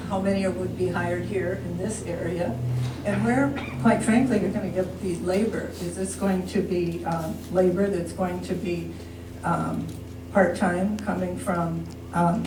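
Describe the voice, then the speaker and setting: Nervous older woman in an echoey room. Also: lowish voice. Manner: nervous, hesitant, questioning. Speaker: resident speaking at public comment. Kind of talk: unscripted public remarks. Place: public meeting room. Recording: echoey room, distant mic, noisy.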